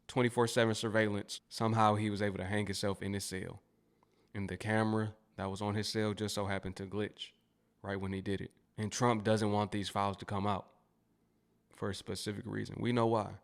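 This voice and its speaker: A man's voice: on the low side, level tone, brisk, gravelly. An American man giving casual, opinionated commentary as a host. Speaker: commentary channel host reacting to clips.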